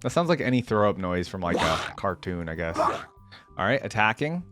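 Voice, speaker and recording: A man hosts a casual reaction video, talking straight to his viewers in a chatty, animated way. His voice sits a little low but swings widely in pitch as he reacts. Music plays faintly behind him. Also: moderate pace. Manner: animated and chatty, addresses viewers, laughs often. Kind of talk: casual chat reacting to game audio.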